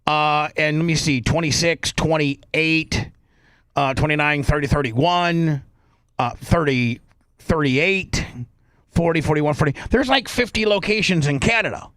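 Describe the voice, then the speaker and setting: An American man hosting a radio show, delivering a long, unscripted sponsor pitch with repetition and emphatic lists. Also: a little slow, a bit bright, dry recording. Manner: rambling, repetitive, emphatic listing.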